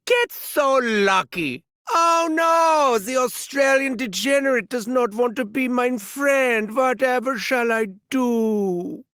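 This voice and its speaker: Arrogant, gleefully cruel German scientist in a video game: German accent, sharp forceful tone, close dry sound, theatrical sneer. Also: male voice, sits high, dry recording, heavily acted. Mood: mocking, sly, then manic, gleeful. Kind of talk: video game villain voice lines.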